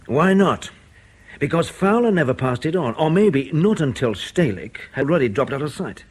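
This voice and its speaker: An actor playing a methodical intelligence officer in a radio drama, talking in a colloquial, argumentative way. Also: male voice, on the high side, animated, moderate pace, husky. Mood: urgent reasoning. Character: brisk, reasoning male intelligence station officer. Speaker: intelligence officer briefing a colleague.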